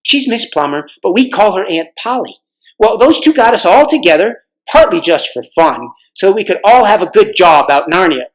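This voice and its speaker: An eager schoolboy adventurer, played by a man reading a storybook. He chatters and explains informally, his pitch rising and falling in a lively way. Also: moderate pace, rough recording. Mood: eager explaining.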